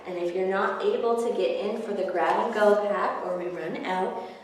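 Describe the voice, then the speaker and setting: A woman's voice: medium pitch, moderate pace, a little hiss or hum. A woman hosting a children's story time as a librarian, explaining things simply to young listeners. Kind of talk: picture book read-aloud and explaining to children. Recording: very echoey room, distant microphone.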